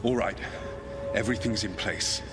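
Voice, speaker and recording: Guy as fantasy game rebel leader giving orders, resolute, plain talking, loud score underneath. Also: fairly low pitch, moderate pace, close to an everyday voice. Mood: brisk, resolute. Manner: rousing, plain-spoken, commanding. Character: brisk, committed male rebel commander. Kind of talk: dramatic game dialogue. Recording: loud music behind the voice.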